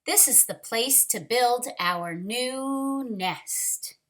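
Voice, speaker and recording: A woman voicing a fussy, bossy female bird in a storybook, with emphatic, drawn-out complaints. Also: fairly high pitch, a bit bright. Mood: decided.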